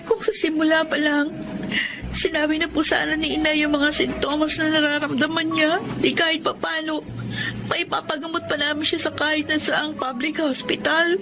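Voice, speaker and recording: A grieving elder sister in Filipino radio drama style. Her high voice is mournful and weighed down by sorrow, with prominent music behind it. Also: flat delivery, normal speed. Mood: anguished. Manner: mournful, emotional. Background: loud music.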